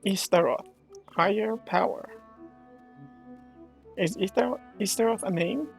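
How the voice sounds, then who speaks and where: Very gravelly man reacting to a game. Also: sits high, slow pace. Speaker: gaming streamer. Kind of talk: casual reaction commentary over a game. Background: game music.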